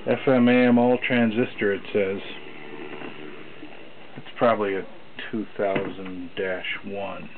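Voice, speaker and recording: A man's voice: fairly low pitch, flat delivery, unhurried, long pauses. A man giving a casual, off-the-cuff demonstration as a seller of vintage radios, talking in a blunt, offhand way. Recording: tinny narrowband audio, loud radio static. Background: loud shortwave radio noise.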